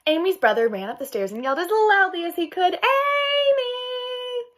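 A woman's voice: extremely high pitch, very animated, fast talker. A young woman reads a children's picture book aloud expressively and emphatically, with chatty asides. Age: young adult. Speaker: read-aloud storyteller. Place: small indoor room at home.

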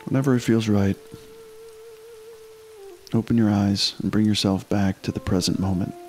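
Male meditation guide, lowish voice, slow and unhurried with long pauses, music underneath. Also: fairly even intonation, a little mellow.

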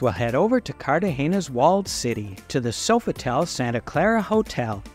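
Upbeat man narrating a travel tour. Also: mid-range voice. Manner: upbeat descriptive tour-guide reading. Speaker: travel vlog co-host. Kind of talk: scripted voice-over narration.

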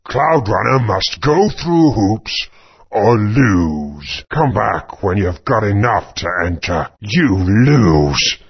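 A gravelly greedy dino brute. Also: male voice, fairly low pitch, sing-song, slow, full character voice. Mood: gruff greedy. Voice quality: gravelly, broken grammar. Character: greedy male SharpClaw dino guard, broken speech. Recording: dull lo-fi game audio.

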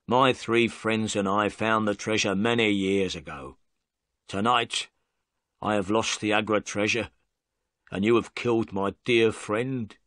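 A man voicing a sullen, weary middle-aged prisoner who speaks flatly and without care. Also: fairly low pitch, no room sound, clean studio sound.

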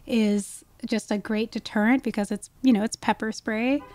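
A woman's voice: on the high side, fairly even intonation, normal speed, darkish tone, no echo. A woman speaking as an expert guest in a conversational podcast interview, explaining things with emphasis. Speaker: guest, wildlife ecologist.

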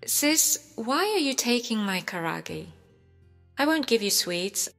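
A woman's voice: a little high, sing-song, extremely slow, fairly bright tone. A woman reading scripted example sentences as the translator voice in a language lesson. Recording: reverberant, faint music behind.

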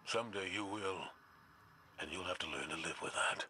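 An actor playing a stern, embittered older military commander in a video game, speaking gravely and argumentatively. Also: male voice, low-pitched, normal speed, sharp tone, slight background noise. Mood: grim. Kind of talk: dramatic video game acting.

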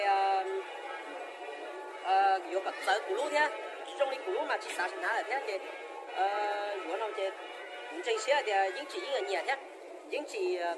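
A man in his thirties vlogging while shopping in a clothing store, chatting casually with many fillers. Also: high voice.

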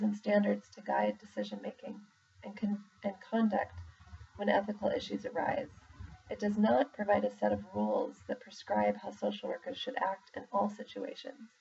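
A woman reading a formal document aloud in a measured, deliberate way, pausing between phrases. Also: mid-range voice, creaky, warm tone. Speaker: reader, social worker in exam preparation. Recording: muffled, distant mic with faint background noise.

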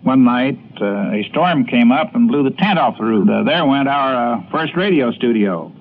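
An older man, a former radio announcer, recounting memories in a halting, measured way. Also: medium pitch, expressive, slight background noise. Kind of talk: recounting reminiscences. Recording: thin phone-like archival radio recording.

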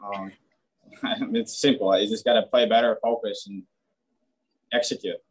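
Young male pro player, low-pitched, blunt and plain, restarts often; post-game webcam presser. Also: steady tone, measured pace, husky, rough recording. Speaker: hockey player. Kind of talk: post-game media interview. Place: team media room, press backdrop, indoors.

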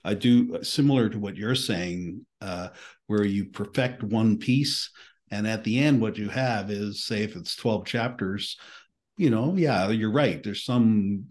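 A man's voice: fairly low pitch, measured pace, darkish tone. An older man with a Canadian accent, hosting an interview podcast over a video call in an easygoing way. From eastern Canada. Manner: easygoing, laughs readily. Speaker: podcast host. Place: home room with bookshelves. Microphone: headset, video call.

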